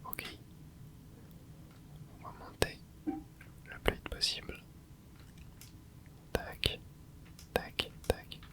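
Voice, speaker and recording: A person whispering relaxed running commentary over a video game, with soft breathy tones, close to a microphone indoors. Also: very slow, pauses often. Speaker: gaming ASMR host.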